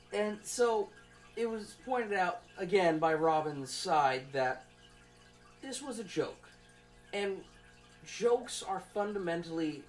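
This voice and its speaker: A young adult college student giving an informal class presentation in a conversational, rambling and halting way, as if thinking aloud. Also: medium pitch.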